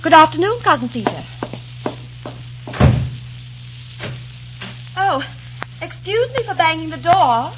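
An actress playing a sweet, earnest young English lady of the eighteenth century in a 1940s radio drama, polite and gentle. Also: very high voice, sing-song, moderate pace. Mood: flustered. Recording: dull, hissy 1940s radio recording.

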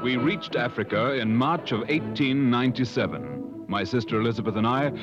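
An actor playing an earnest, insistent English gentleman in a 1950s radio drama, polite but persistent. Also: mid-range voice, expressive, normal speed, music in the background, natural voice. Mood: measured narration. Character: earnest, insistent English gentleman seeking a lost brother-in-law. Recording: muffled old radio broadcast.

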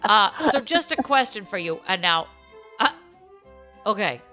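A woman's voice: medium pitch, animated, measured pace, pushed and bright, soft background music. A woman hosting a podcast in playful, casual chat, teasing and effusive as she talks. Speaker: podcast host.

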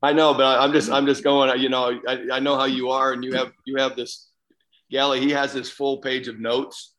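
A man with a medium-pitched voice co-hosts a casual sports chat. He sounds blunt and opinionated, talking as an ex-player would among friends. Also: level tone, normal speed, fairly bright tone, poor audio. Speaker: podcast co-host, former player.

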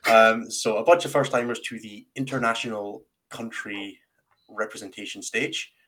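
Wry, low-voiced male podcast host. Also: steady tone, normal speed, fairly bright tone, low-quality recording. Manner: wry, self-deprecating, teasing. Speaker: podcast host and team captain. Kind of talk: casual round-table hosting.

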